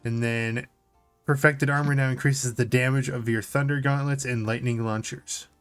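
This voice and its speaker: A man's voice: medium pitch, fairly even intonation, normal speed, a bit bright, clear, resonant tone, faint music behind. A man co-hosting a casual tabletop gaming discussion, informally reading out notes and commenting on them. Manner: informal, reads notes aloud then comments. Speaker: podcast co-host.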